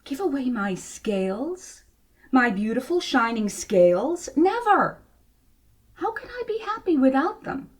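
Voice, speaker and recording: A woman's voice: sits high, sing-song, a little slow, breathy edge, pitched above the performer's own voice, full character voice. A woman voicing a proud, vain male fish in a children's storybook, haughty and dramatic. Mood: affronted. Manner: haughty, exclamatory.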